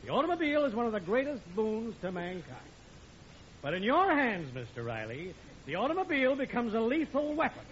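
An actor playing a stern, exasperated middle-aged American driving examiner in an old radio sitcom, speaking in an official, deliberate way. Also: male voice, extremely high pitch, wildly swooping pitch, heavily acted. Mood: sardonic, grave. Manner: deliberate, official, building to indignant speeches. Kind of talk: 1950s radio sitcom acting. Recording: muffled old radio recording with studio audience.

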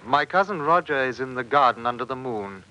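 An actor playing a scheming, weary cousin after an inheritance in a theatrical period radio drama, speaking in archaic phrases. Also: male voice, medium pitch, expressive, fairly quick, sharp tone, low-quality recording. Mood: smooth, sly. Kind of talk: 1950s radio drama acting.

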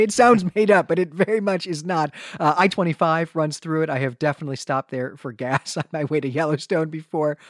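A man's voice: a little high, dry recording, clean studio sound. A man co-hosting a podcast, recapping a story conversationally in a wordy, digressive and wryly joking way. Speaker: podcast co-host.

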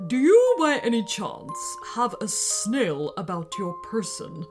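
A woman's voice: mid-range voice, huge pitch swings, a little mellow, soft background music. A woman voicing a showy male warlock with a theatrical manner, in a children's story. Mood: grand. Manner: slow, theatrical. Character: showy male warlock performing magic tricks.

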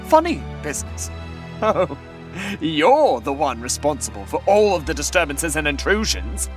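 Vain, indignant storyteller: theatrical, huffy and hugely expressive in pitch, for audio comedy. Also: female voice, moderate pace, soft background music. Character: indignant, vain female rival storyteller, easily outraged. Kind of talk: comedic audio drama acting.

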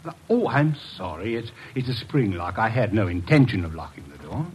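An actor playing a falsely courteous impostor doctor, formal and feigning innocence, in 1940s radio style. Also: male voice, lowish voice, sing-song, fairly quick, dull audio. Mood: feigned innocence. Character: smooth-talking male impostor doctor, falsely courteous villain. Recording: noisy, distant vintage radio recording.

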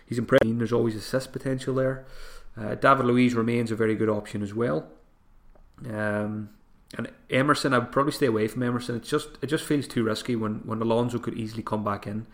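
A man hosting a solo podcast, thinking aloud with frequent restarts, his voice going hoarse. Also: mid-range voice, steady tone, fairly quick. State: voice getting hoarse. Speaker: podcast host. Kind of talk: solo podcast advice talk.

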